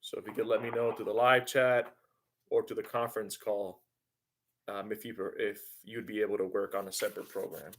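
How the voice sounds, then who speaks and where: A man's voice: fairly low pitch, flat delivery, brisk, slight background noise. A man teaching a class over a conference call, often repeating words and restarting his sentences. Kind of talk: online class instruction.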